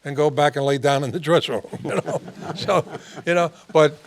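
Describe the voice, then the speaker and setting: A man's voice: on the high side, steady tone, moderate pace, slight background noise. A man hosting a casual interview, repeating himself and laughing often. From New York. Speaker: host.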